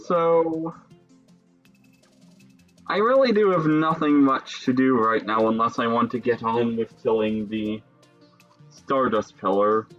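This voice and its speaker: Unhurried young man from Chicago musing. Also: medium pitch, highs cut off, faint music behind. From Chicago, Illinois. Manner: musing, chats with viewers between pauses. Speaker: streamer. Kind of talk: casual live-stream chat while gaming.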